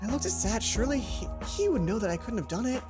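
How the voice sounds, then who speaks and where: Expressive woman reading a story game aloud. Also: a little high, fast, over music. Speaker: let's player. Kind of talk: reading a visual novel aloud with character voices.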